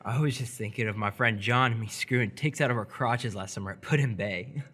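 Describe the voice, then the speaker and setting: A man's voice: mid-range voice, fairly quick, pushed and bright. An actor playing a friendly, awkward seventeen-year-old boy in live radio theatre, talking casually and a little hesitantly. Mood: awkward, amused.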